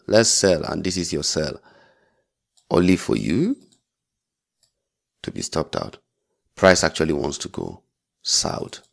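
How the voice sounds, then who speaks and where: A man with a low, creaky voice teaches in an informal tutorial style. He is blunt and emphatic, repeating key phrases to drive them home. He often tacks on short check-in tags as he explains. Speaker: trading instructor.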